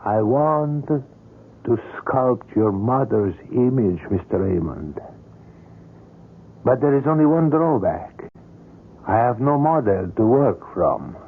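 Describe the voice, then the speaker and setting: A man's voice: mid-range voice, animated, slow, long pauses, husky, heavily acted. An actor playing an older, courteous and obsessive male sculptor in a radio drama, with formal, florid and precise phrasing. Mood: fervent, wistful. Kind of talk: old-time radio drama acting. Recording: muffled vintage radio drama recording.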